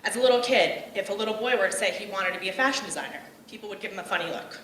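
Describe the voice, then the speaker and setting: Young woman giving a loud, outgoing talk. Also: mid-range voice, animated, very fast, far from the mic, a little hiss or hum. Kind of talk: personal speech on gender roles. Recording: echoey room, voice from afar.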